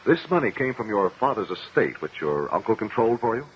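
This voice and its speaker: An actor playing a calm, methodical lawyer with a formal, probing manner in a 1950 radio courtroom drama. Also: male voice, lowish voice, a little fast. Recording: muffled, hissy old radio recording.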